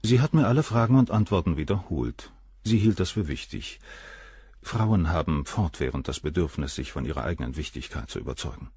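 An actor playing a cold, condescending, articulate professor with a husky voice in a radio crime drama. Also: male voice, extremely low pitch, lively intonation, fairly quick, a little mellow, dry recording, clean studio sound, exaggerated voice. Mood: disdainful. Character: cold, haughty, gaunt male professor, a famous doctor.

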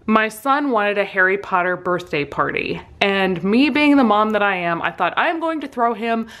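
A middle-aged woman casually telling a personal story in an amused, self-deprecating, animated way, as a vlogger would. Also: medium pitch, moderate pace. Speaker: vlogger and soap maker.

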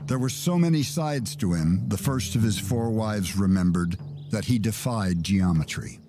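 Low-voiced man narrating over guitar music. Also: animated, normal speed. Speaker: documentary narrator. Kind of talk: scripted documentary narration. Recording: music under the voice. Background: acoustic guitar music.

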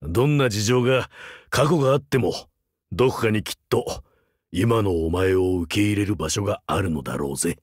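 A male actor playing a rugged older vampire hunter turned teacher in a game, wry, laconic and gruffly fatherly. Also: on the low side, moderate pace, dry recording, clean studio sound. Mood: gently weary. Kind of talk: Japanese mobile game character voice lines.

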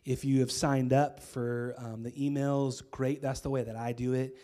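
A man in his thirties with an American accent preaching a sermon on a church stage into a handheld mic, his voice hoarse from a cold, casual and conversational with many fillers. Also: mid-range voice, level tone, normal speed, mellow. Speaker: pastor. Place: church stage with string lights.

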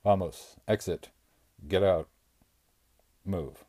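A man's voice: low voice, takes it slowly, warm tone, breathy edge, dry recording, exaggerated voice. Smug, commanding circus bear, voiced by a man, curt and cool. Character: domineering male circus bear, smug and cruel.

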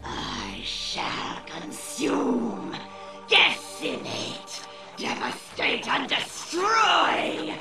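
A monster's lair in a fantasy game, where a gloating she-beast growls hungrily, dragging out every word over loud music. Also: sits high, huge pitch swings, extremely slow, creaky, exaggerated voice. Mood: ravenous gloating. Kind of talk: video game character acting.

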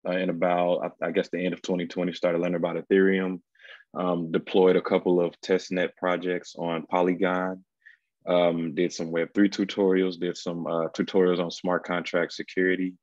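Deep monotone guy on a call, lots of um and uh, dry muffled audio. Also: no room sound. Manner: halting, many fillers. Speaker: mentee introducing himself. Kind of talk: casual video call chat. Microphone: video call.

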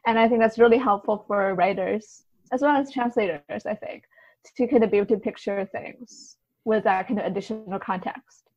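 A woman speaking as an interview guest, casually recounting experiences in a halting, think-aloud way. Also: mid-range voice, a little mellow, no room sound, highs cut off. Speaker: podcast guest, writer and translator.

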